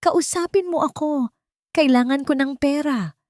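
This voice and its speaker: A woman's voice: sits high, a little slow, darkish tone, no echo, studio quality, pitched above the performer's own voice. A woman voicing an angry, greedy, drunken husband in a dramatic story, demanding and desperate.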